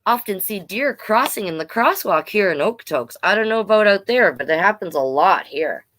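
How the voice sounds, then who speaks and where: Very animated Canadian woman reading to children. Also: medium pitch, moderate pace. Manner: playful, expressive, chatty with young listeners. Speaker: drag performer hosting children's storytime. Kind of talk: reading picture books aloud with casual asides.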